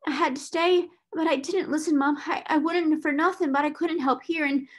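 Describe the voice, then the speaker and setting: A timid young scullery maid from a storybook, acted by a woman reader. The voice sits high and tearful. The maid stammers and pleads humbly in plain, uneducated servant speech. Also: fairly even intonation, normal speed, natural voice. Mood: pleading, protesting.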